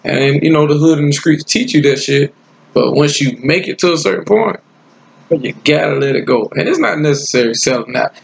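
A man's voice: on the high side, brisk, gravelly, breathy edge, rough recording. A man from South Carolina, talking bluntly and profanely in a casual podcast chat. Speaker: podcast co-host.

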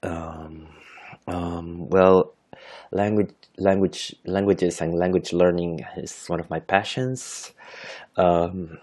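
A man's voice: low-pitched, fairly even intonation, takes it slowly, a little mellow. A man in his thirties from Chile with a Chilean Spanish accent, a native Spanish speaker hosting an unscripted solo podcast in English, hesitant and full of fillers and self-corrections. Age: 30s. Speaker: podcast host.

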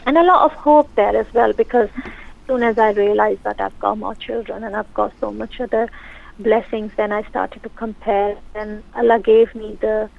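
Gravelly woman on a phone line. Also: medium pitch, steady tone, moderate pace. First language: Urdu. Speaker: guest author and counsellor. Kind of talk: radio interview guest.

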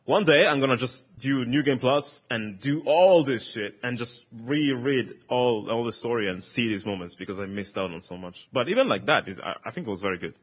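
Man, excitable gamer, swears casually, husky voice, online call. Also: medium pitch, fairly quick, hardly any pauses. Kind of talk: casual group chat about a video game.

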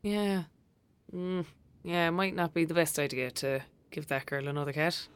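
A woman's voice: low voice, moderate pace, clean tone, close to an everyday voice. A woman playing a blunt, sceptical young girl with an Irish accent in an audio drama, speaking in a casual, colloquial way. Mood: wary. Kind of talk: naturalistic audio drama acting.

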